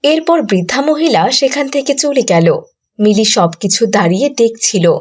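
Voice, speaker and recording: A woman's voice: on the high side, expressive, normal speed, darkish tone, breathy edge. A woman giving scripted storybook narration for a cartoon.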